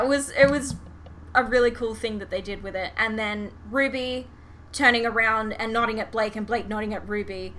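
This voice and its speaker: A woman podcast host chatting casually and animatedly about a fandom, swearing freely. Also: a little high. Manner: animated, sweary, giggly retelling. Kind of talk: casual fan podcast chat. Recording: clear background noise.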